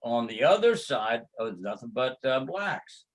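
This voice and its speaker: Older Texan man, unhurried interview storyteller, plenty of pauses, mid-range voice. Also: medium pitch, very animated. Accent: Texas. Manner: slow, halting storytelling with many fillers. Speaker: martial arts grandmaster. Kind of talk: reminiscing interview.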